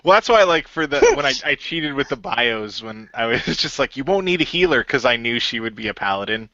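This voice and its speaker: Bright, lively man chatting, slightly lo-fi. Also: medium pitch, a little fast, no echo. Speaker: creator of a radio play, leading a wrap-up discussion. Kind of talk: casual group chat.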